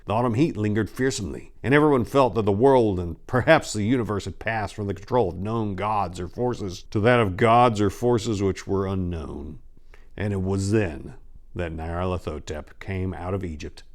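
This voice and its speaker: A man reading a horror tale dramatically as a haunted, dread-filled narrator, theatrical and ominous with drawn-out emphasis. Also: low voice, lightly acted. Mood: grave, foreboding.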